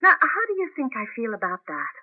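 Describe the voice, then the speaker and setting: An earnest wife in a 1980s radio drama, loving but worried and feeling shut out. Her delivery is animated and emotional, sometimes halting and repeating itself. The sound is close and dry, on a dull vintage broadcast recording. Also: fairly high pitch, husky, no room sound, exaggerated voice. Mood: wounded.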